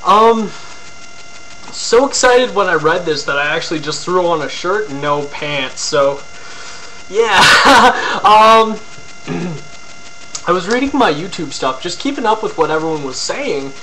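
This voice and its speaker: Young dude rambling into his webcam, bright edgy voice, slight hum behind. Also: high-pitched, lively intonation, measured pace, rough recording. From Ontario, Canada. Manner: rambling, lots of ums and likes, crude jokes. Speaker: vlogger. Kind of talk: casual rambling video blog.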